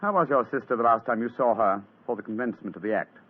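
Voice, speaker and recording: An actor playing a shrewd, courteous British gentleman detective in a polished, theatrical old radio drama style. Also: mid-range voice, fast talker, natural voice. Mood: grave, gentle. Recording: muffled, lo-fi vintage radio sound.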